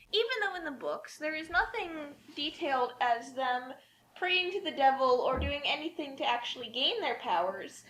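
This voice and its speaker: A woman's voice: high-pitched, bright, forceful tone, low-quality recording. An American woman co-hosting a casual group chat, talkative and wry. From United States. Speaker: co-host.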